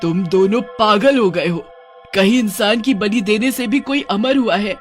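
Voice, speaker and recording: A woman's voice: mid-range voice, measured pace, soft background music, close to an everyday voice. A woman voicing an indignant, righteous witch in a cartoon, speaking in an emphatic, dramatic way. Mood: angry. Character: female witch, indignant and righteous, pleading protector.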